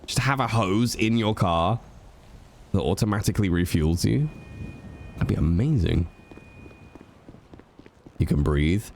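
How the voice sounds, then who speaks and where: Deep-voiced stuffy streamer man. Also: lively intonation, unhurried, long pauses, a little mellow, slight background noise. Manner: rambling, self-talking, swears freely. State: stuffy nose from a cold. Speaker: streamer roleplaying a game character. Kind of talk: casual chat to viewers while playing.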